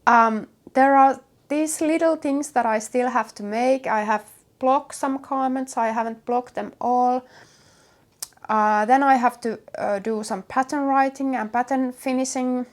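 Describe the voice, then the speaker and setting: A woman with a Finnish accent chats casually to her viewers. Her voice sits a little high, and she speaks very slowly and hesitantly, pausing often as she searches for the next words. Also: fairly even intonation. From Finland. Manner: hesitant, halting with many pauses. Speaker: knitwear designer and vlog host.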